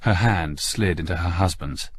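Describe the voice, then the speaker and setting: A man's voice: low voice, normal speed, slightly rough. A man reading a scripted story as an audiobook narrator, in a dramatic storytelling style.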